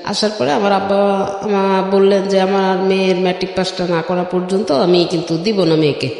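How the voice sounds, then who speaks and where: A woman's voice: medium pitch, steady tone, brisk, gravelly, a little mellow. A middle-aged Bangladeshi woman telling her life story in an interview, step by step, with frequent filler phrases. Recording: very echoey, long reverb.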